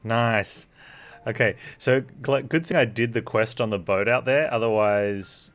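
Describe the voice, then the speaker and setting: A man's voice: mid-range voice, a little slow, fairly bright tone. A man giving casual live gameplay commentary, thinking aloud in an offhand way as he streams. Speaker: video game streamer. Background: game music.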